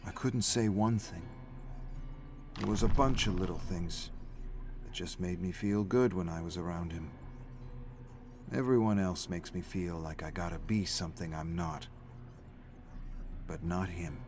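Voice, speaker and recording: A man's voice: deep voice, unhurried, pauses often, background noise. A man reading a story aloud as a scripted, dramatic narrator. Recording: music playing behind the voice. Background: faint background music.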